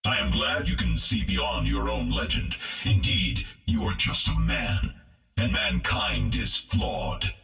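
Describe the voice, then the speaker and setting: Superior machine villain, echoing, music behind, cold, precise, pompous, video game. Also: male voice, extremely low pitch, takes it slowly, gravelly, a bit bright, full character voice. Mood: cold, condescending.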